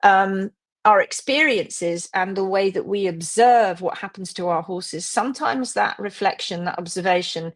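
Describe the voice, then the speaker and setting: A woman's voice: mid-range voice, unhurried. A woman hosting a live stream, talking casually to viewers about feeding and greeting them by name. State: slightly croaky voice. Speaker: host of a live hoof care stream.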